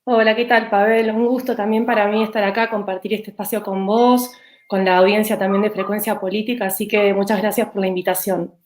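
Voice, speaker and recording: A woman's voice: medium pitch, level tone, fairly quick. Argentine woman academic, roomy sound. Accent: Argentine Spanish (rioplatense). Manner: thoughtful, with hesitant restarts and "eh" fillers. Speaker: political scientist guest. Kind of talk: interview answers.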